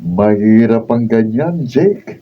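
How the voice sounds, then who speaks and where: A man's voice: lowish voice, animated, slow. A man acting a concerned friend giving advice in a Filipino radio drama, with a Filipino accent. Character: concerned, advice-giving male buddy of a husband. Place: radio drama recording session. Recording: dull, slightly noisy raw video.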